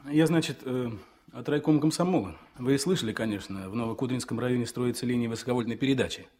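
An actor playing an earnest young Komsomol instructor, speaking formally and officially in a 1970s Soviet radio play. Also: male voice, mid-range voice, fairly quick, a little mellow, a little hiss or hum, natural voice. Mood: earnest, urgent. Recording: old mono radio play recording.

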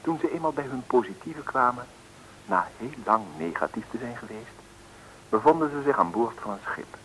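Male storyteller, wry and chatty, animated mid-range voice, old radio broadcast with faint hiss. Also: medium pitch, moderate pace, low-quality recording. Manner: tongue-in-cheek, chatty asides to listeners. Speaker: narrator. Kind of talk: wry scripted radio narration, 1960s. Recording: hissy old radio recording.

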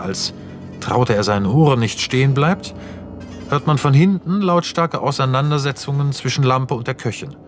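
A man narrating a radio drama, reading scene directions in a measured, detached, matter-of-fact way. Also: medium pitch, close to an everyday voice. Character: sober, detached male narrator of stage directions.

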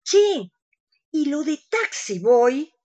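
A woman's voice: high-pitched, big pitch swings, extremely slow, dark tone, exaggerated voice. A woman playing a giddy, fussy older lady in a comic radio play, squealing and exclamatory, with a Rioplatense Argentine accent. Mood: puzzled, fussy.

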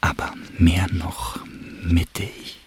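Deep, whispering, sensual muser. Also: male voice, flat delivery. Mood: sensual, hushed. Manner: breathy, whispering, slow, lingering. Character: sensual, voyeuristic man musing intimately. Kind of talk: German radio drama monologue.

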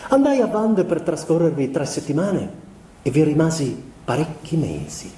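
A man playing a melancholy young musician confessing to his wife in a measured, reflective literary stage monologue. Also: on the high side, sing-song, mellow, reverberant, far from the mic. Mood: reflective, nostalgic. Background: piano music.

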